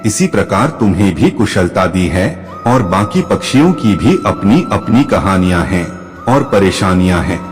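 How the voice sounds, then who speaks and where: A man narrating a moral story in a measured, expressive way. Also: low-pitched. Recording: reverberant with faint music.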